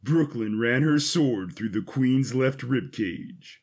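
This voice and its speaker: Husky man narrating a dramatic story. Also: mid-range voice, animated, slow, a little mellow.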